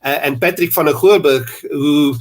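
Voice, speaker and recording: A South African man hosting a talk interview in a loose, rambling way, full of fillers and restarts. His voice sits a little high, and he keeps circling back as he tells his stories. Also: normal speed. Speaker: podcast host. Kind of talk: conversational interview.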